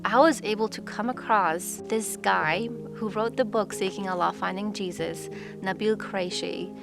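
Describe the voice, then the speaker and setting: A woman's voice: mid-range voice, normal speed, vocal fry. A young woman from Pakistan giving an earnest, reflective personal testimony. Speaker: testimony speaker. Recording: music bed underneath.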